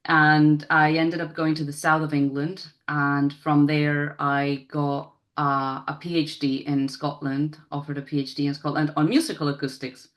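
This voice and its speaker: A woman's voice: deep voice, little pitch movement, moderate pace, hardly any pauses. A woman chatting casually as an interview guest, rambling and self-deprecating. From Spain.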